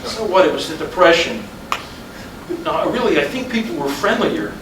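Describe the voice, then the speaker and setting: A man's voice: on the high side, very animated, normal speed. A man reading the part of an easygoing, pragmatic husband in casual staged-play dialogue. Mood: teasing, nostalgic. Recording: distant mic in echoey, noisy room.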